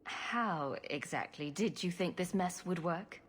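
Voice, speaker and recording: A woman's voice: lowish voice, slight background noise, close to an everyday voice. A woman as a wry, breezy adventurer. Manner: wry, brisk. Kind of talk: video game character acting.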